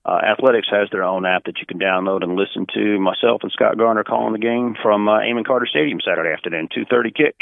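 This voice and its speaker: A man's voice: on the low side, quick pace, gravelly, few pauses, dry recording, narrow, tinny audio. A man who works as a play-by-play announcer giving detailed, articulate sports commentary as an interview guest, conversationally.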